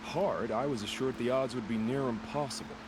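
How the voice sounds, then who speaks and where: An actor playing a serene, bloodthirsty killer in a video game, with poetic, savoring phrasing. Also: male voice, mid-range voice, fairly quick, noisy background, lightly acted. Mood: eager. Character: serene bloodthirsty male killer who relishes combat. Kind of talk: video game drama acting. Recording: clear outdoor game ambience behind voice.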